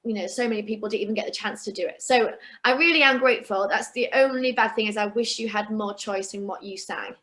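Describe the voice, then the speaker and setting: A woman's voice: mid-range voice, fast talker, hardly any pauses, pushed and bright. A young woman talking as a guest in a casual interview, chatty and often restarting her sentences. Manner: chatty, with frequent restarts and fillers. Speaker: guest singer and actress.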